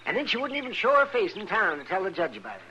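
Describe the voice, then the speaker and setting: A cantankerous, folksy Old West doctor in a radio drama. His American voice is fairly high and swoops up and down with excitable fussiness. A faint hiss sits behind it. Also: racing, highs cut off, heavily acted. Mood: indignant. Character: crotchety, excitable, good-hearted frontier town doctor. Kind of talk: old-time radio western acting.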